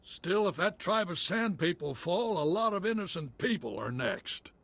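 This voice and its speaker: A husky-voiced frontier militia commander for a video game. He pitches high and swings widely through his lines, with a wry, folksy way of putting things. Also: lively intonation, moderate pace, fairly bright tone, exaggerated voice. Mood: grim, resolved. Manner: folksy, clipped colloquial speech.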